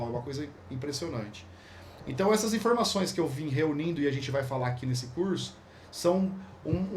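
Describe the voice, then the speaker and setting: A Brazilian man with a high voice leads a casual spoken lesson, full of slang and restarts as he explains. A faint hiss of noise sits under his voice. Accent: Brazilian Portuguese. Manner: informal, slangy, rambling with restarts. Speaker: course instructor.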